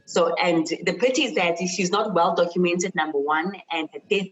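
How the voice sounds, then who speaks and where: Interview guest: woman, South African accent, low pitch, earnest and emphatic, personal storytelling with repeated phrases for stress. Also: normal speed, rough recording. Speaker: university chemist and lecturer, interview guest.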